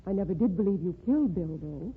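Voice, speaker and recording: An actress playing a timid, gentle woman who meets her former lover again, in an old radio drama. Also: on the low side, animated, fast, slightly rough, heavily acted. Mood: frightened. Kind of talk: 1940s radio drama acting. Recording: tinny lo-fi old radio broadcast.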